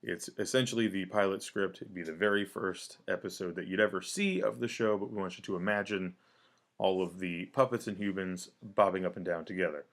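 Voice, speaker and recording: A man's voice: low-pitched, steady tone, a little fast, fairly bright tone. A man hosting a table read, introducing the cast and reading stage directions in a matter-of-fact way. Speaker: host and narrator of a table read.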